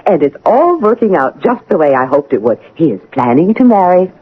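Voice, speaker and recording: An actress playing a pleased, confiding older housekeeper with a husky voice in an old-time radio drama. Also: female voice, fairly low pitch, big pitch swings, fairly quick. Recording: tinny, band-limited old radio broadcast.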